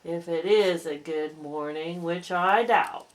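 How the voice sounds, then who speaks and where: A woman's voice: lowish voice, takes it slowly, voice pushed down, rough recording. A woman voicing a gloomy old gray male donkey from a storybook, mournful and resigned in manner.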